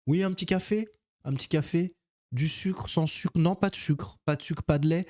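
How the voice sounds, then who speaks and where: Older homeowner, excessively courteous, high and mellow; a man doing the voice. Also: normal speed, close to an everyday voice. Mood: fawning. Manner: overly courteous, eager to serve. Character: fawning, polite older male homeowner.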